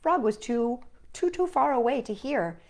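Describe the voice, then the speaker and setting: Slow, animated woman reading children's stories. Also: on the high side. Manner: expressive, addresses young listeners directly. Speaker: storytime host and reader. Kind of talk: children's story read-aloud.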